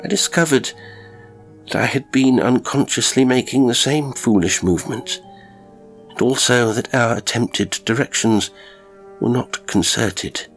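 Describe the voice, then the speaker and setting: A man narrating a first-person strange story from a script, with dramatic, expressive delivery and deliberate pauses. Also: medium pitch, faint music behind. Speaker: audiobook narrator of strange stories.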